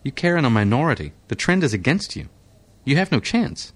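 A man's voice: mid-range voice, sing-song, brisk, pitched above the performer's own voice. A man reading an audiobook, voicing a blunt, dismissive male medical engineer who speaks almost brutally.

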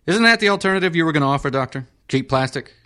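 A man's voice: fairly high pitch, lively intonation, very fast, pitched above the performer's own voice. A man putting on a peevish, demanding older senator. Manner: restless, demanding, sharp questions. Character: peevish, irritable male senator in his fifties.

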